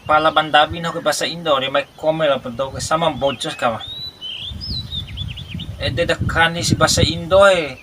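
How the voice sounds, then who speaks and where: A man hosting a family vlog, chatting casually with animated, joking banter. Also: a little high, normal speed, background noise. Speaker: channel host. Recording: loud music playing behind.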